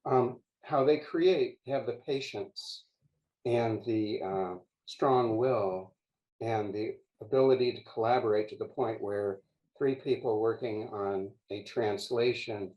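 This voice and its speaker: An older man, a poet guest, speaking in a measured, halting way on a video call from a home study lined with books. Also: fairly low pitch, lo-fi sound.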